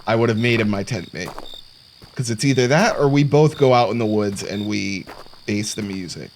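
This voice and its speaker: A man's voice: on the low side, moderate pace, background noise. A man giving casual let's play commentary on a horror game, sarcastic and jokey as he mutters to himself. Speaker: gaming content creator. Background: horror game sounds of crickets and insects.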